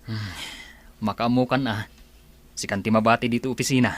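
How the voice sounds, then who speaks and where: An actor playing an easygoing office boss in a radio drama, talking casually. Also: male voice, mid-range voice, steady tone, fairly quick, lightly acted. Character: easygoing male office boss, a son placating his mother. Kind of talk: Ilocano radio drama acting.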